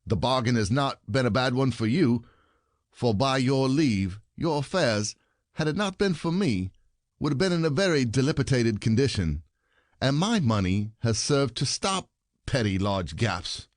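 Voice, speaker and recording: An actor playing a bitter, sarcastic husband, a rich commoner full of complaint, in a theatrical comedy audio play. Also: male voice, mid-range voice, animated, unhurried, no room sound, clean studio sound, close to an everyday voice.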